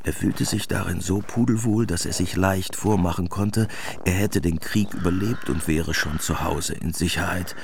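An actor narrating a war story in a German radio play as a measured storyteller, in a dry, matter-of-fact manner. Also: male voice, sits low, fairly even intonation, vocal fry, a little hiss or hum.

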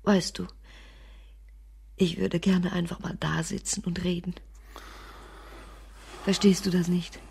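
Breathy, low-voiced lonely woman. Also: level tone, a little mellow, dry recording, exaggerated voice. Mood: anxious, pleading. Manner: breathy whispering, halting fragments. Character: lonely, anxious, bitter woman in bleak slum. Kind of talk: literary radio drama monologue and dialogue.

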